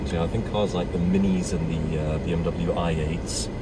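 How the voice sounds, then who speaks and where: A man's voice: low voice, fairly even intonation, normal speed. A South African man giving casual, rambling commentary while driving, chatty and wry with jokey asides. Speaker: vlogger driving and narrating. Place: inside a moving car. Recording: loud car and road noise, distant mic.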